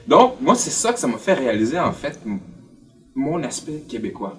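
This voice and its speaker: Expressive Quebec man with widely swinging pitch. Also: normal speed, distant mic. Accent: Quebec French. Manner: expressive, acts out quoted remarks. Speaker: documentary interviewee. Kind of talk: personal storytelling in an interview. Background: faint music.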